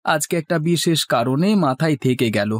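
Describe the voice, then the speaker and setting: A man's voice: on the high side, brisk, no echo, natural voice. Man acting a theatrical, scheming village husband. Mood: coaxing. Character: village milkman husband, eager, flattering and scheming. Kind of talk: Bengali cartoon dialogue. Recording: music bed behind the voice.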